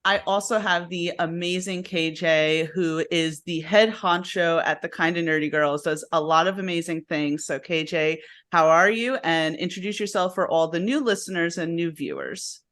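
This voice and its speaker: A woman's voice: fairly low pitch, normal speed, fairly bright tone. Chatty laughing woman hosting a review. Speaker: host. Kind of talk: casual review chat.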